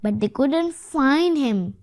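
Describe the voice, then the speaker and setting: A child reads a picture story aloud in a high voice. The delivery is slow and halting, moving between the written tale and simple explanatory asides. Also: animated. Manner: halting, adds own comments on pictures. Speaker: story reader.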